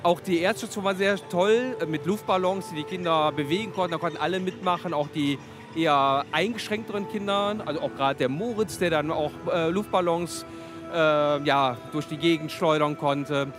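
A man's voice: a little high, normal speed, music in the background. A man, a special-school teacher, answering interview questions hesitantly with many fillers.

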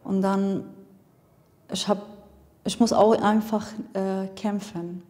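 A woman with a foreign accent gives a personal account in a language learned later. Her voice is warm-toned and relaxed, recorded in a space with long reverb. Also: medium pitch, slow pace. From abroad, immigrant to Germany. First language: not German. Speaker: interviewee, choir member.

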